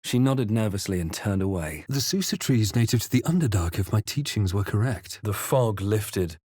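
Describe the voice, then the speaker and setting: An actor playing a brooding adventurer hero in a fantasy game, speaking in a grave, deliberate, understated way. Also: male voice, fairly low pitch, expressive, dark tone, husky, dry recording, clean studio sound. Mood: solemn, reflective. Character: brooding, measured adventurer hero recounting his dark journey. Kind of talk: scripted fantasy game narration and character lines.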